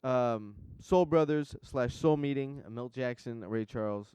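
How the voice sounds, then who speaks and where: A man's voice: medium pitch, a little slow, warm tone, smooth, no room sound. A man hosting a podcast, chatting in a laid-back, rambling and enthusiastic way. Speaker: podcast host. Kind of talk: casual interview chat.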